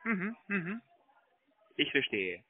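High, swooping non-native salesman on the phone. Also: huge pitch swings, slow pace, slightly rough. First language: not German. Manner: polite, effusive. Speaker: phone salesman, product adviser. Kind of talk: semi-scripted sales phone call. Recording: tinny narrowband phone line.

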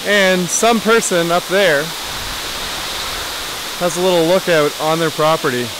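A man's voice: a little high, background noise. A young man talking casually to his handheld camera as he hikes through a wooded ravine to a waterfall. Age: young adult. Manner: relaxed, musing to camera while walking. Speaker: vlogger. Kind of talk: casual hiking vlog. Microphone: handheld camera held close. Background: rushing water.

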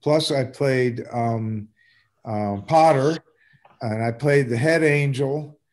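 An older man with an American accent talks casually over a video call. His voice sits at a middling pitch, and he rambles in a halting, self-deprecating way, like an amateur actor at a talkback. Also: darkish tone. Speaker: community theater actor. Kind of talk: casual chat at an online talkback. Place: indoors at home on a video call. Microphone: video call microphone.

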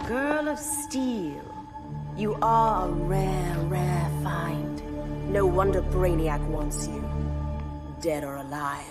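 An actress playing a predatory clawed feline villainess in a video game, gloating in a drawn-out, menacing way. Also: female voice, fairly low pitch. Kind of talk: video game cutscene acting. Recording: loud music behind the voice.

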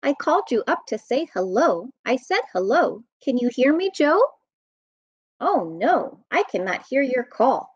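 An American woman, around her thirties or forties, reading a rhyming children's book aloud in an expressive, sing-song way. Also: high voice, measured pace, slightly rough, no echo. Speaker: children's storytime reader. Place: room at home. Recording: dull, muffled webcam audio.

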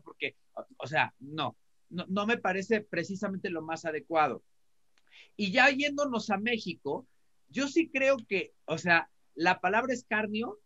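A man's voice: high-pitched, pushed and bright. A middle-aged man with a Mexican accent co-hosting an opinion talk, colloquial and hesitant, often repeating words, on a video call. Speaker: co-host commentator. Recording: slightly dull video-call audio.

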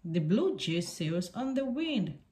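A woman reading a picture book aloud for young children in deliberate, simple sentences. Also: mid-range voice, sing-song, very noisy.

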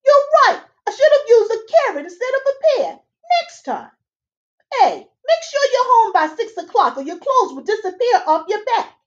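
A high, squeaky fairy godfather who rattles off jokes and swoops all over the scale, as a woman reads him aloud from a storybook. The sound is dull and lo-fi. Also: sing-song. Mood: chatty. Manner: quick, joking, squeaky. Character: bumbling chatty male fairy godfather. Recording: dull lo-fi webcam audio.

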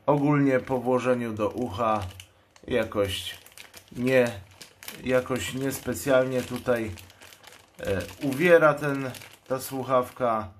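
A man giving a measured, matter-of-fact unboxing commentary as a channel host. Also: medium pitch. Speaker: product reviewer and channel host. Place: indoors at a table. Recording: clear background noise, crinkling packaging. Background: noise, plastic packaging crinkles and clicks.

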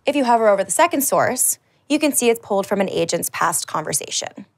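A woman in her thirties giving a scripted product demo as a marketing presenter, with a polished, upbeat, clearly enunciated delivery. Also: medium pitch, expressive, quick pace. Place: large dark indoor studio stage.

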